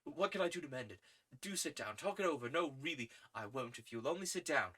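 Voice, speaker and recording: A woman's voice: extremely low pitch, lively intonation, a little fast, a bit bright, breathy edge, pitched above the performer's own voice, heavily acted. A reader voicing an eager, lovestruck young man who pleads and stammers. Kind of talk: audiobook character reading.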